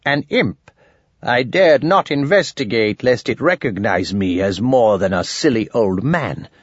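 A man's voice: medium pitch, expressive, unhurried, slightly rough, no echo, highs cut off. A man voicing a wary, self-important old wizard with formal, pedantic speech in an audiobook. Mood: grave, boastful.

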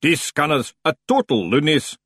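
Man doing a loud, grumbling little heckler. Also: a little high, very animated, moderate pace, husky, dry recording, clean studio sound, voice pushed up, exaggerated voice. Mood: grumbling, rude. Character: small hidden grumbling Scottish heckler, rude and belligerent.

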